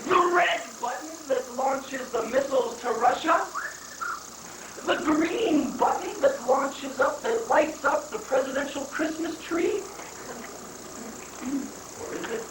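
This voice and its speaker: A performer in a live play reading playing a blustering, cynical political aide who speaks emphatically and deliberately. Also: high voice. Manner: deliberate, emphatic, halting lists. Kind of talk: dramatic play reading before audience. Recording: echoey, distant, noisy 1980s live recording.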